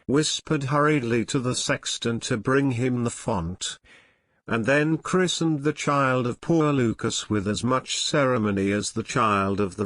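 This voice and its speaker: A man's voice: mid-range voice, no echo, studio quality. A man reading a scripted storybook tale as narration for English learners.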